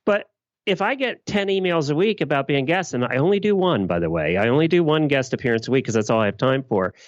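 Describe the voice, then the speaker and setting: A man's voice: medium pitch, animated, quick pace, no echo. A man being interviewed as a podcast guest over an internet call, speaking casually in a blunt, emphatic way. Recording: dull, dry internet call.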